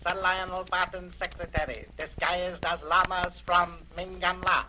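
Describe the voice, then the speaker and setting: A high-voiced, obedient henchman. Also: fairly even intonation, moderate pace, sharp tone. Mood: dutiful report. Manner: formal, clipped, deferential reporting. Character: obedient, dutiful male henchman reporting to his master. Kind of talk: 1930s radio drama acting. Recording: noisy, crackly 1930s radio recording.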